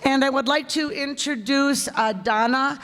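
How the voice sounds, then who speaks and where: A woman's voice: high-pitched, a little slow, a bit bright. Female community theater host, informal closing thanks from a stage, long hall reverb. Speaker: radio theater group host. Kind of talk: informal thank-you speech to audience. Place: town hall stage before an audience.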